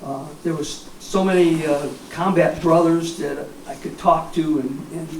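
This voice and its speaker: An American man in his seventies, a war veteran reminiscing informally in a halting, rambling way with frequent pauses. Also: fairly high pitch, fairly even intonation, a little mellow. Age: 70s. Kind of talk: informal reminiscence at a public talk. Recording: echoey, distant mic, clear background noise.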